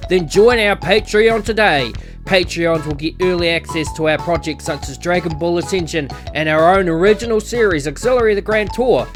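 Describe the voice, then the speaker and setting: A man's voice: high-pitched, moderate pace, hardly any pauses, faint music behind. A man reading scripted narration as the announcer and narrator of a visual novel.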